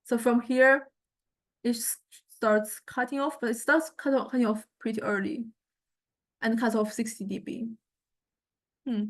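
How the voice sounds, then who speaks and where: A woman lecturer giving an informal software demonstration, hesitantly thinking aloud as she goes. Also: on the high side, fairly even intonation, measured pace, pauses often. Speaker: music technology lecturer.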